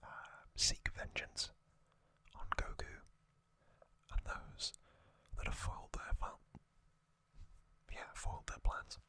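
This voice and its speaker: An ASMR host whispers softly and breathily, close to the microphone. The delivery is very slow and gentle, broken into short phrases with lingering pauses. The whisper has a crisp, bright edge. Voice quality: soft breathy whisper, mostly unvoiced. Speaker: host of a whispered trivia channel.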